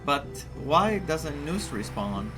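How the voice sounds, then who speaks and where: A woman's voice: low voice, big pitch swings, slow pace, music in the background. A woman from Greece, giving casual, wry and teasing commentary as she plays a story game. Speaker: gamer reacting to a story quest.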